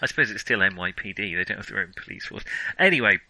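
A man with a very bright, forceful voice on the lower side, recorded dry with hardly any room sound. He talks casually about films as a co-host, wry and self-correcting as he works through his thoughts. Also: animated, fast, vocal fry, no echo, rough recording. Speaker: film podcast co-host. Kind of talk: casual film discussion.

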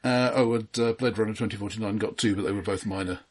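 A man with a husky voice co-hosting a film discussion podcast, listing results and giving dry, understated opinions. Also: lowish voice, steady tone, quick pace. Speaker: podcast co-host. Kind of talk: film discussion, reading out awards and box office lists.

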